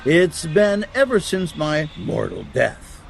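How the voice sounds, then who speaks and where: Guy acting kind old saint nick for a kids audio play, animated, slightly higher voice, gentle. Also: takes it slowly, gravelly, a little hiss or hum, heavily acted. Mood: kindly explaining. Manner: gentle, storytelling, explanatory. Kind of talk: audio drama acting for children.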